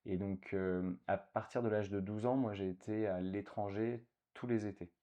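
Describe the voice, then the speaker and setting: A man's voice: fairly low pitch, steady tone, normal speed, warm tone, dull audio. A man in his thirties from France, a guest in a casual interview, talking with many fillers and restarts.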